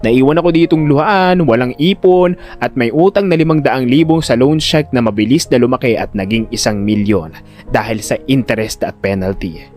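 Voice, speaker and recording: A man narrating a first-person audio drama in an expressive, conversational storytelling manner. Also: mid-range voice, moderate pace, faint music behind.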